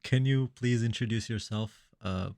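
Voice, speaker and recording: A man's voice: medium pitch, moderate pace, crowd noise. A man whose first language is Arabic, hosting a casual interview over a video call and often restarting his words. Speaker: podcast host. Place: home near Tokyo.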